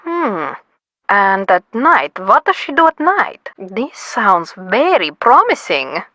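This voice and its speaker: An actress playing a brusque, self-important academic expert in a video game, speaking in an imperious, clipped way. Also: female voice, medium pitch, sing-song, slow pace, pushed and bright, no room sound, heavily acted. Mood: intrigued. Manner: imperious, clipped, impatient lecturing. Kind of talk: scripted video game voice acting.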